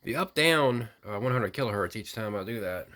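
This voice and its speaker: A man giving an unscripted hands-on product demonstration, rambling technically and thinking out loud as he hosts a hobby video. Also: on the low side, expressive, normal speed. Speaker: radio hobbyist video host.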